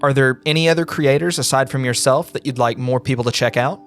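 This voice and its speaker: Guy interviewer asking prepared questions, medium pitch, guitar music behind him. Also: fast talker. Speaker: interviewer, host.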